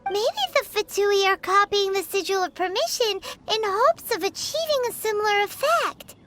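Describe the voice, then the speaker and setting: A woman voicing a tiny, excitable, greedy and chatty girl companion in a video game, with a squeaky childlike voice and an exclaiming manner. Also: normal speed, over music. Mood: puzzled, wary. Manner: speaks of self in third person, exclaiming. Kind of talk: video game cutscene voice acting.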